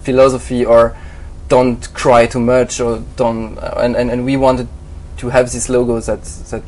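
A man's voice: mid-range voice, flat delivery, normal speed, darkish tone, low-quality recording. A man in an interview, answering hesitantly with many fillers and restarts. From Switzerland. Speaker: graphic designer, interview guest. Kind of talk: halting conversational interview answers.

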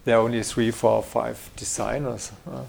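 A middle-aged German graphic designer answering interview questions in English with a German accent, hesitant and full of fillers and restarts. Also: male voice, medium pitch, level tone, normal speed, gravelly, a little mellow.

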